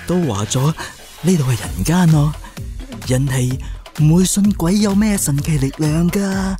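A man playing an obsessive, clingy, excitable lovesick kidnapper in a radio drama, wheedling theatrically. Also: a little high, measured pace, soft background music, close to an everyday voice. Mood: eager, insistent.

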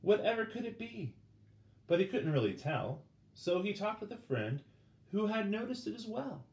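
A middle-aged man reading a children's picture book aloud with dramatic pauses, turning to the listeners with questions. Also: high voice, big pitch swings, darkish tone. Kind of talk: expressive children's storybook read-aloud. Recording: roomy indoor sound with faint background noise.

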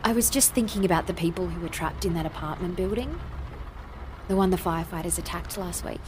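A withdrawn young girlfriend played by a woman in an audio drama, with a slightly low voice. She is guarded and matter-of-fact, giving little away. Background ambience is clearly audible around her. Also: brisk, noisy background, natural voice. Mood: evasive, guarded. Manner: flat, guarded, reticent. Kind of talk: naturalistic audio drama dialogue.

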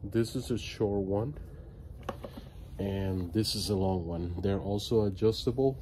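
A middle-aged man giving a casual, hesitant walkthrough of his gear as a vlogger, speaking plainly into a phone held at arm's length outdoors. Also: low-pitched. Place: outdoors at night beside a truck. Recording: clearly noisy handheld outdoor recording.

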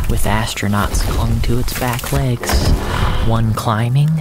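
A narrator telling a surreal first-person story in a deliberate, dramatic way, with pauses. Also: medium pitch. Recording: loud music under the voice. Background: loud music.

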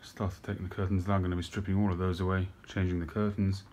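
A middle-aged man from England vlogging about pipe tobacco at his desk at home, talking in a relaxed, unhurried way with frequent ums. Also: deep voice, slight background noise. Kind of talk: casual talk to camera.

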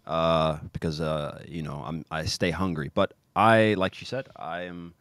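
A man's voice: rumbling low, fairly even intonation, a little slow, a bit bright, no room sound. A man in his thirties from Kansas City, speaking as a guest on a video-call podcast, talking energetically with many fillers and restarts. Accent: American. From Kansas City, USA. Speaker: guest, pro soccer player. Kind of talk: casual podcast interview. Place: home room with football shirts on wall. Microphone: studio mic on boom arm, video call.

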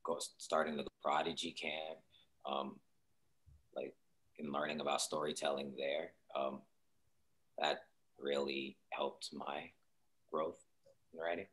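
A young man from Seattle talks over a video call. His voice sits very low, and his delivery is soft-spoken and reflective, full of small fillers. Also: level tone, takes it slowly, long pauses. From Seattle, Washington. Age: young adult. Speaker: guest artist. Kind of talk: casual interview on a video call. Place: home room, indoors.

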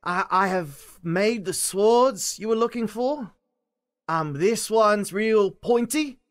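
Guy doing an awkward lovesick young smith in a comedy dub, slow and hesitant, pitch all over. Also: animated. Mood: nervous, eager. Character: nervous, lovesick young male blacksmith, awkward and eager. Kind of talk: improvised comedy fan dub.

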